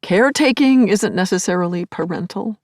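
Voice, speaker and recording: An actress playing a grieving, lovelorn middle-aged therapist in an intimate audio play monologue, confiding and wry, lingering on words and dropping to murmurs. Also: female voice, medium pitch, normal speed, a little mellow, no echo, clean studio sound, close to an everyday voice. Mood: anxious, self-mocking. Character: grieving, lovelorn woman therapist, self-aware and yearning. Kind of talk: intimate first-person dramatic monologue.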